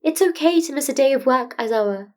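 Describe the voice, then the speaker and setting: Woman voicing a high, polite animal principal. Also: animated, fairly quick. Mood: coaxing. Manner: diplomatic, gently insistent. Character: polite, probing animal school principal, male, well-meaning. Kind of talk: fan fiction audiobook character voice.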